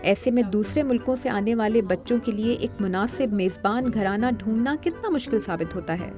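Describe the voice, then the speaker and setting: A woman reads a news report in a formal, measured voice that sits slightly high. Music plays clearly beneath her voice-over.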